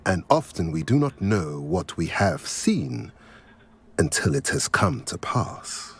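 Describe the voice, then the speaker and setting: An actor playing a wise, calm old male centaur seer in a video game, speaking in measured, formal, prophetic phrases. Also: sits low, creaky, breathy edge, exaggerated voice. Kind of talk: video game cutscene dialogue.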